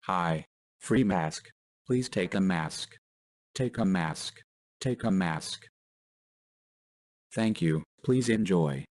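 A man's voice: sits low, lively intonation, slow pace, long pauses, a little mellow, no room sound, heavily acted. A man voicing a polite, insistent, repetitive robot attendant in a video game. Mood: polite insistence. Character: polite, insistent robot handing out free masks. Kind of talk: video game character acting.

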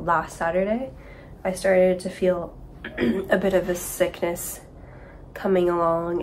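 A woman's voice: on the low side, a little hiss or hum. A young woman in her twenties chatting casually to camera for her vlog in a small apartment, a bit congested from a cold. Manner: chatty, rambling. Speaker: vlogger. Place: small apartment room with kitchen, indoors.

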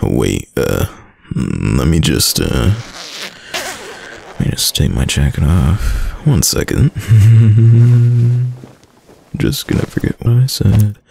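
A man playing a shy, smitten young student in an intimate boyfriend roleplay, deep-voiced, with a hesitant, stammering manner. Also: very animated, slow, gravelly, long pauses, warm tone, slightly rough, heavily acted. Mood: flustered. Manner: hesitant, stammering, nervous chuckles. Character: shy, smitten young male student gamer, a caring crush.

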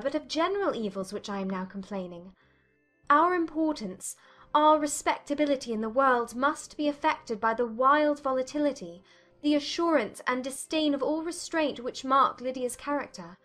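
Earnest young heroine, voiced by a woman, high clear voice, serious pleading. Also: fairly bright tone.

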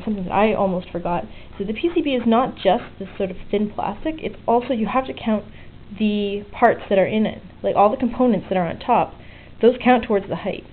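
A woman talks through a hands-on project in a casual, explanatory way, with a medium-pitched voice. The sound is thin and phone-like, as from a small camera mic, with some faint noise behind her. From outside the US. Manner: explanatory, conversational. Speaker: DIY electronics video maker. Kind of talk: informal hands-on tutorial narration. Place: indoors at a desk. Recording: muffled phone-like lo-fi audio, noticeable noise.

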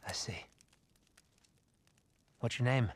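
A middle-aged American man leads survivors in a video game drama. His voice is husky and sits at a medium pitch, recorded close and dry. He is polite and measured, pausing as he calmly persuades. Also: no room sound. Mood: gentle, coaxing. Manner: hesitant, measured, placating. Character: polite, soft-spoken male survivor leader, calm and persuasive. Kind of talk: naturalistic video game acting.

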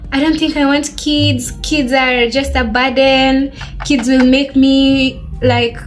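Girl mid-twenties, bubbly high voice, chatting to her webcam with soft music under it. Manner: bubbly, animated, laughs often. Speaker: beauty vlogger. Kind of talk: chatty Q&A while doing makeup. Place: small bedroom, indoors.

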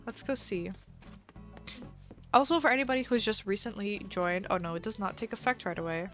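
A woman's voice: medium pitch, a little fast, fairly bright tone. A woman live streaming a game in casual chat, chatty and giggly, her voice slightly stuffy from a cold. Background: faint background music.

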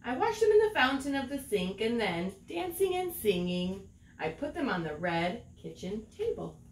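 A middle-aged woman reading a children's picture book aloud in an expressive storytelling manner, drawing out words. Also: a little high, moderate pace, a little hiss or hum. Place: small room at home, indoors. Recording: roomy home recording.

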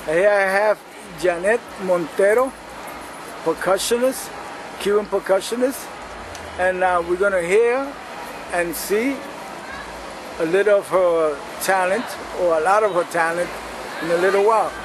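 A man's voice: sits high, slow pace, long pauses. A man hosting a casual interview outdoors, mixing English and Spanish with short affirmations. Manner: switches between English and Spanish, brief affirmations. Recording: street noise behind.

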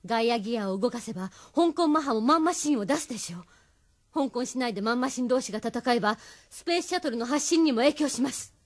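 A determined, cautious young resistance agent played by a woman, high and quick, with animated delivery. It is anime radio drama with faint music. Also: natural voice. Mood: firm, cautioning. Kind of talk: anime audio drama acting.

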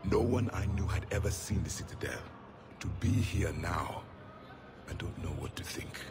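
An actor playing a proud ancient alien soldier in a video game, grave, measured and scornful. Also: male voice, sits low, expressive, creaky, in a large hall, background noise. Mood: wistful. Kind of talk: video game cutscene dialogue.

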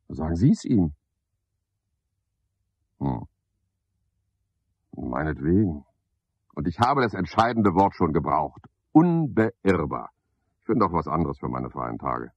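Radio play: sardonic, pompous night caretaker, theatrical and very animated, dry, slightly dull vintage studio recording. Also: male voice, low voice, breathy edge, dry recording, exaggerated voice. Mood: self-righteous. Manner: pompous, sardonic, theatrical one-sided conversation. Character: cynical, self-satisfied, talkative male night caretaker. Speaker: radio drama actor. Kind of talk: 1970s German radio play monologue.

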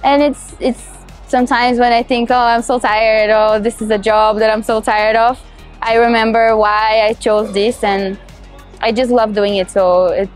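A young woman from Brazil with a Brazilian Portuguese accent, a culinary student answering interview questions outdoors in a cheerful, laughing way with halting restarts. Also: a little high, little pitch movement, faint music behind. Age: young adult. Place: outdoors on a city terrace.

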